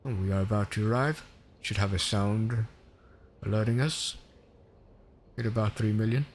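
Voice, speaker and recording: Swedish-accented male streamer, low voice, chatty and rambling, faint hum behind. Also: steady tone, moderate pace. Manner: chatty, rambling, self-correcting. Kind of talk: casual gameplay commentary.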